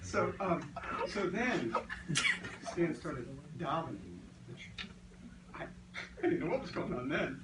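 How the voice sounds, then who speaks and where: A man's voice: fairly high pitch, very animated, slow, long pauses, heavy background noise. A man giving a casual, rambling toast at a birthday party, telling a story with hesitations. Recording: echoey room, distant mic, loud crowd.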